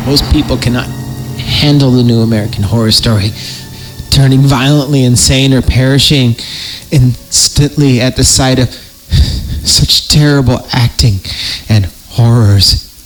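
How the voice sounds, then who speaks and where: A man reading a horror story theatrically as a podcast host, breaking in with crude, joking asides. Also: mid-range voice. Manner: theatrical narration broken by crude, panicky comic asides. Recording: clearly noisy amateur recording.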